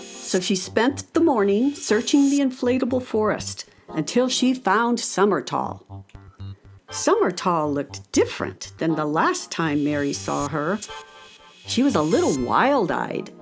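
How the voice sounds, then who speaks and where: A woman narrator tells a children's story in a mid-pitched, animated voice. Her phrasing is deliberate and expressive, and soft music plays behind her.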